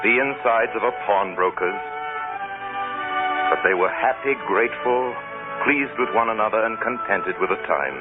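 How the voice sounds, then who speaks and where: A man's voice: mid-range voice, lightly acted. A man narrating a Christmas tale as a composed storyteller in a vintage radio drama. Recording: tinny vintage radio, music behind.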